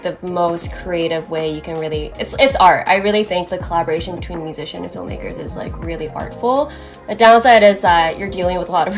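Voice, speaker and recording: A woman's voice: fairly low pitch, gravelly. A young woman filmmaker from South Korea, talking casually about her work with frequent "like" fillers and light laughs. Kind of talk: reflective interview talk. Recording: voice over loud music bed.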